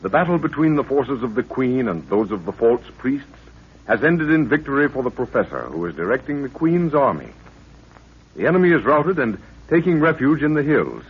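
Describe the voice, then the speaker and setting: A man with a husky voice giving dramatic, portentous scripted narration as the narrator of a 1930s radio serial. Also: medium pitch, very animated, moderate pace. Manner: portentous, declamatory. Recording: thin, phone-like 1930s radio recording.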